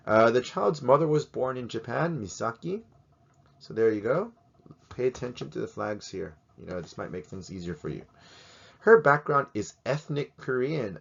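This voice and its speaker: A man's voice: fairly low pitch, vocal fry, highs cut off. A man teaching in a casual, chatty way, joking and asking many rhetorical questions. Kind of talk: casual educational talk to camera.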